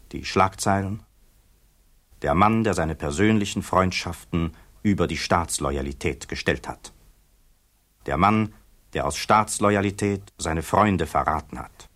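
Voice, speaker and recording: A man narrating a documentary radio play as a sober, measured chronicler. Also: low-pitched.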